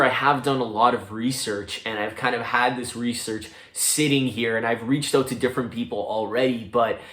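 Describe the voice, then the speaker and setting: A man's voice: mid-range voice, roomy sound. A man with a Canadian accent talking casually and a little hesitantly, like a first-person vlog. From Mississauga, Canada. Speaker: vlogger making a first documentary.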